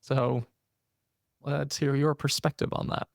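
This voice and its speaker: A man's voice: mid-range voice, flat delivery, unhurried, vocal fry, no room sound, clean studio sound. A man hosting a podcast, talking in an earnest, personal way and often checking in with "right?". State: briefly losing his voice. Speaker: podcast host. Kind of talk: casual interview conversation.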